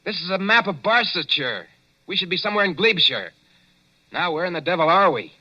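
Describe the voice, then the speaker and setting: An impatient young reporter, bright forceful voice, lo-fi old radio. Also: male voice, high voice, lively intonation, a little fast, no room sound, exaggerated voice. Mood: brisk. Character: brash young American newspaperman. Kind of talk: 1940s radio acting. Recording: lo-fi 1940s radio broadcast.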